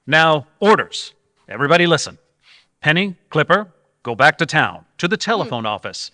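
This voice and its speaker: A man plays a decisive radio adventure hero, bright and forceful, with animated pitch. He snaps out brisk orders in live stage drama, recorded with a long hall reverb. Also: studio quality. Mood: commanding, urgent. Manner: brisk, clipped commands. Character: commanding, decisive adventurer hero leading his young team. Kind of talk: live old-time radio adventure acting.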